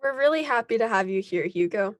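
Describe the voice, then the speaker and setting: A woman playing a calm, reassuring superhero team leader in a table read of a scripted drama. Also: mid-range voice, a little fast, dry recording, close to an everyday voice. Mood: welcoming.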